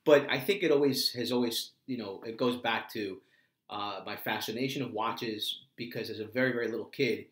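A middle-aged American man with a medium-pitched voice chats casually in an echoey room. He speaks reflectively and haltingly, often repeating a word before going on. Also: a bit bright. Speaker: co-host, the father. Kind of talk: casual chat. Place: small room indoors, seated at a table.

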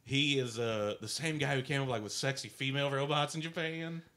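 Man, podcast co-host, mid-pitched, fast talker, quick self-correcting asides. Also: fairly bright tone. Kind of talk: casual chat.

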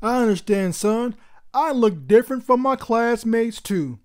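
A woman's voice: on the high side, lively intonation, measured pace. A woman voicing a proud, encouraging father in a children's storybook, speaking with reassuring emphasis.

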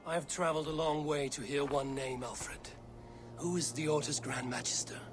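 An actor playing a steady, blunt Norse jarl in a video game, speaking in a grave, measured way. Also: male voice, fairly high pitch, close to an everyday voice. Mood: guarded, challenging. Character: Norse Viking warrior jarl, adult man, steady and blunt. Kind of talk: video game cutscene acting. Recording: loud music behind the voice.

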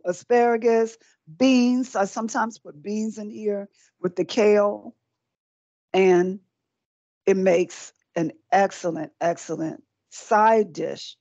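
A woman's voice: mid-range voice, dark tone, dry recording. A middle-aged woman giving a live cooking demonstration, chatty and encouraging, often repeating words while she works at the stove. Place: small indoor set with folding screens. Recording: dry, dull lo-fi sound.

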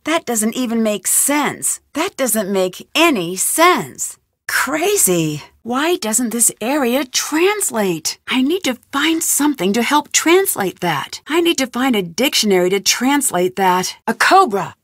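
Curious young girl detective, bright pitch, animated delivery, dry booth sound. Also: slow pace, no room sound, full character voice. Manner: thinking aloud, inquisitive, lightly wry. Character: young female amateur detective, curious and determined. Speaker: video game protagonist. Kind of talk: studio game voice lines. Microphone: close studio mic.

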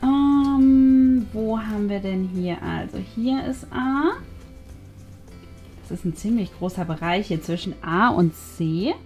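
A woman's voice: mid-range voice, slow, a little mellow. A woman hosting a craft video, casually narrating each step and thinking aloud as she goes. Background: background music.

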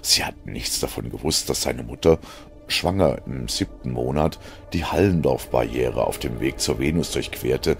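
A man's voice: a bass voice, moderate pace, gravelly. A man reading scripted science fiction as a narrator, in a dramatic style. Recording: music behind the narration. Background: music.

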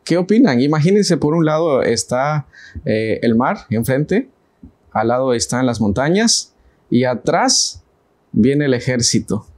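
A man's voice: medium pitch, very animated, measured pace, darkish tone. Mexican guy in his 30s leading a bible study panel on a studio set, lapel mic, lots of "eh" fillers. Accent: Mexican Spanish. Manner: asks the panel questions, with frequent "eh" fillers. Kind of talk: casual Bible study discussion.

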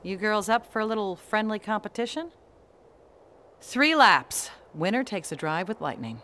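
Lively, confident, wry sports car. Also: female voice, medium pitch, moderate pace. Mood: playful confidence. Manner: teasing, wry.

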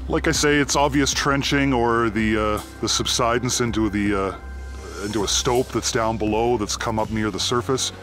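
A Canadian man speaks into a handheld camera mic while he walks through a forest. His narration is unhurried and thoughtful, broken up by frequent "uh" pauses. Faint music plays quietly under his voice. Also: medium pitch, steady tone. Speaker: abandoned mine explorer. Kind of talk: walking narration while exploring. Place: outdoors in a forest.

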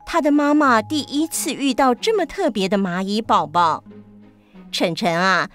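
A woman's voice: a little high, unhurried, faint music behind. A woman narrating a story for children as a storyteller.

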